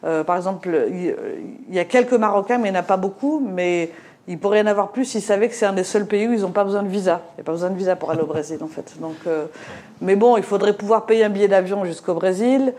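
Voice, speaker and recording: A woman's voice: medium pitch, fast talker, warm tone. Hesitant woman from Morocco, slight accent. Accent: slight Moroccan French accent. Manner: hesitant, many fillers and restarts. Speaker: panel speaker. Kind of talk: discussion talk.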